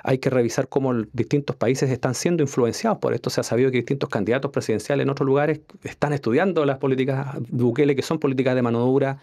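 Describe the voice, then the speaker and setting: A man with a Chilean accent, an academic guest on a radio discussion, speaking in a measured, analytical way with some hesitations. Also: medium pitch, steady tone, mellow. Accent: Chilean Spanish. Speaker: political science academic guest. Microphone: studio microphone.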